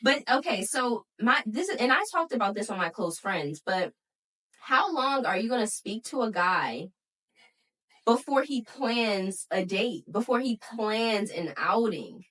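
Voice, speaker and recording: A woman's voice: fairly high pitch, lively intonation, measured pace, fairly bright tone, recorded from a distance, poor audio. A woman in her twenties co-hosting a podcast, talking frankly and bluntly in casual chat with slang and restarts. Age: 20s. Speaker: podcast co-host.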